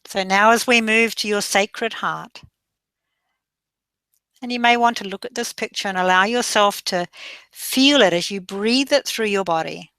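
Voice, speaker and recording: A woman leading a guided meditation in a slow, soothing voice that drifts into soft breathy passages. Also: medium pitch, dry recording.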